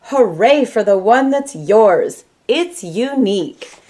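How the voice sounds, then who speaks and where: Casting: middle-aged woman, American Midwestern accent, storybook reader with unhurried pace and animated intonation. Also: fairly high pitch. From Michigan, United States. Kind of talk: children's read-aloud storytelling. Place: small room at home, indoors.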